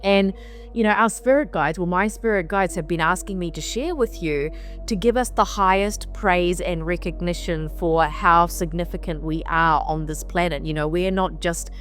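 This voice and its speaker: A woman's voice: lowish voice, moderate pace, a bit bright, faint music behind. A woman hosting a weekly spiritual mantra talk in a casual, meandering way. She sounds slightly nasal and sniffly from allergies and repeats phrases for emphasis. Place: on the road while traveling.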